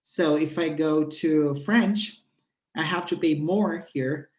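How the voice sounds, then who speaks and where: A speaker whose first language is Portuguese gives a step-by-step app tutorial in an explanatory, halting way, often pausing on "uh". The voice sits at a middle pitch. The recording carries a noticeable roomy echo. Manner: explanatory, halting with frequent "uh" fillers. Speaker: presenter demonstrating a language-exchange app. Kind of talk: screen walkthrough tutorial.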